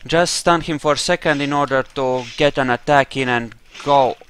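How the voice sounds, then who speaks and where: A man's voice: medium pitch, normal speed, a bit bright, slight background noise. A man giving casual roleplay commentary as he plays a video game, muttering to himself with playful exclamations. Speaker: gamer, let's play host.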